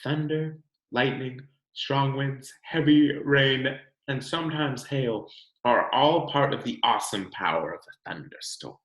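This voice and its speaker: An American man reads a children's picture book aloud with expressive, deliberate storyteller phrasing and the occasional casual aside. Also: medium pitch. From California, United States. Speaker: read-aloud host, teacher reading to children. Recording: echoey room.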